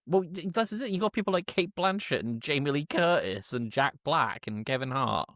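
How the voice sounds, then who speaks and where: A man's voice: fairly high pitch, lively intonation, moderate pace, a bit bright, no echo, clean studio sound. A man hosting a casual film review chat, blunt and joking, who swears freely. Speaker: podcast host.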